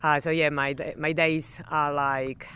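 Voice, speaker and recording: Argentine-accented woman, Spanish native, middle-aged, smooth resonant tone, halting speech with many fillers, sitting in an outdoor garden. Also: low voice, level tone, bright, forceful tone. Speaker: wine estate general manager. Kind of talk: conversational interview.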